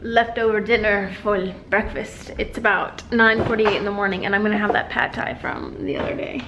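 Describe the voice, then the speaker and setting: A woman's voice: mid-range voice, steady tone, moderate pace, noisy background. A young woman in her twenties, chatting casually to a handheld camera at home and in her car, with lots of "like" fillers. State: out of breath and overheated after a run. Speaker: vlogger. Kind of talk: casual daily vlog chat. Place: small home office, kitchen, then parked car. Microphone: handheld camera at arm's length.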